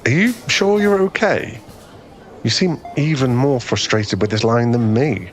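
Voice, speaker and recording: A man's voice: medium pitch, animated, unhurried, natural voice. A man playing a friendly, easily flustered young guy in a conversational ASMR boyfriend roleplay, with a hesitant, rambling, self-effacing way of talking. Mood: sympathetic, reassuring.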